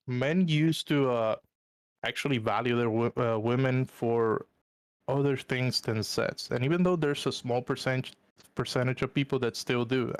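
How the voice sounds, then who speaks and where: A man from Puerto Rico whose first language is Spanish, sick and congested, calling in to debate on a live stream, hesitating with many fillers and restarts. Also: mid-range voice. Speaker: caller. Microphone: voice call.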